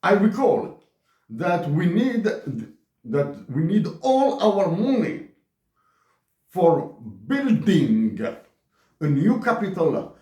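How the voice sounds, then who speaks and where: Slow, emphatic Algerian man reading a letter. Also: high-pitched, very animated, warm tone, reverberant, lo-fi sound. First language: Tamazight. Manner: slow, emphatic delivery with word restarts. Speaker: commentator. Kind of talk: read-aloud open letter.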